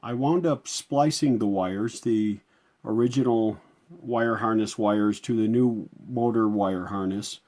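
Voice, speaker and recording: A man's voice: lowish voice, slow pace, darkish tone. A middle-aged man narrating hands-on workshop repair in a casual, folksy way, chatting and thinking aloud as he works. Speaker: hobbyist restorer presenting a repair.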